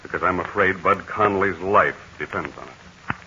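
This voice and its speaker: An actor playing a brave, steady aviator hero in a 1940s radio serial, talking in a calm, assured and commanding way. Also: male voice, deep voice, normal speed, noisy background, close to an everyday voice. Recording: dull lo-fi 1940 radio transcription.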